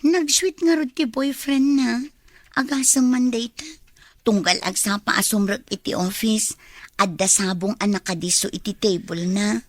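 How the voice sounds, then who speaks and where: A woman's voice: a little high, unhurried, no echo. An actress playing an envious, demanding young girlfriend in a radio drama, with an Ilocano Filipino accent.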